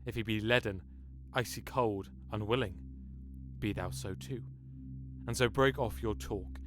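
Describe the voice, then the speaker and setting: An actor playing a commanding, conspiring nobleman in Shakespearean audio drama, with deliberate, persuasive formal verse. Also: fairly low pitch, lightly acted.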